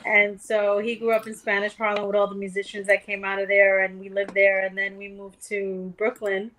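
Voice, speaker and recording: A woman's voice: medium pitch, monotone, normal speed, a bit bright, a little hiss or hum, poor audio. A middle-aged woman guest from New York on a casual podcast, telling her story candidly and swearing freely. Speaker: guest author.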